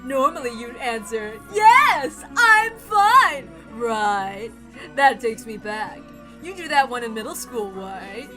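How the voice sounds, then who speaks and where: A woman voicing a gleeful, mocking witch who cackles and taunts with theatrical, drawn-out delivery in a visual novel reading. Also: on the high side, lively intonation, pushed and bright, faint music behind, full character voice.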